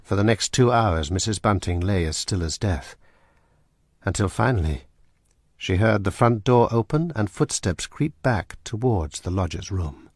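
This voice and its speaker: A man narrating a radio drama in a measured, dramatic storytelling manner. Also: fairly low pitch, lively intonation, no echo, clean studio sound. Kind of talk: scripted narration.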